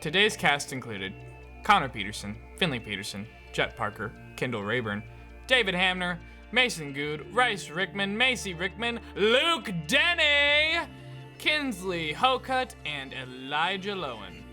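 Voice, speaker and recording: A man's voice: high voice, very animated, measured pace, pushed and bright, soft background music, exaggerated voice. A man playing a put-upon podcast narrator, delivering scripted narration. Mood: announcing. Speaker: narrator reading credits.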